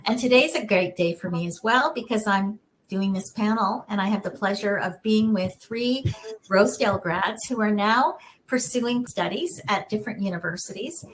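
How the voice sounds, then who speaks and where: A woman's voice: mid-range voice, a little hiss or hum, rough recording. A woman moderating a student panel over video call, encouraging and affirming. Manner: warm encouraging interviewer, frequent affirmations.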